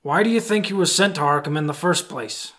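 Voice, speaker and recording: A man's voice: a little high, brisk, a bit bright, lightly acted. A man playing an earnest, defensive police commissioner in an audio drama, firm and protesting. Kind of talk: fan-made audio drama acting.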